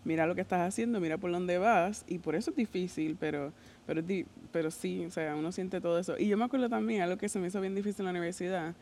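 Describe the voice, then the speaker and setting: A woman's voice: on the low side, fairly even intonation, fast, a little mellow, a little hiss or hum. A woman from Rico, co-hosting a casual podcast conversation and chiming in often. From Puerto Rico. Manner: frequent listening sounds, chatty.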